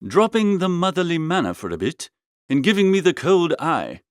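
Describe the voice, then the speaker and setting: A man's voice: on the high side, sing-song, moderate pace, no echo, studio quality. A man reading a first-person comic story as an audiobook, with a breezy, theatrical manner. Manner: breezy, theatrical, old-fashioned upper-class idiom. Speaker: audiobook reader.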